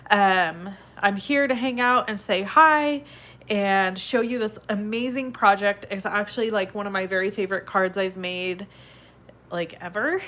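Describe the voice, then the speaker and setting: A woman with a stuffed-up head cold hosting a live card-making tutorial in a chatty, upbeat way, sniffling and clearing her throat now and then. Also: medium pitch, fairly bright tone. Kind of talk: casual live tutorial chat.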